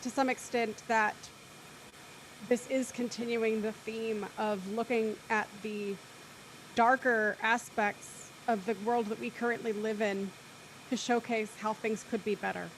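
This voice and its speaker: A woman's voice: a little high, fairly even intonation, unhurried, slight background noise, low-quality recording. A woman in her thirties hosting a post-show discussion, speaking in a careful, wordy, articulate way. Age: 30s. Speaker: host and moderator.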